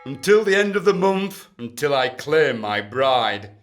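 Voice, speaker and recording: An actor playing a pompous, pushy duke in a comic period radio play, wooing in florid, melodramatic gushes. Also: male voice, mid-range voice, very animated, a little slow, soft background music. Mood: pleading, insistent.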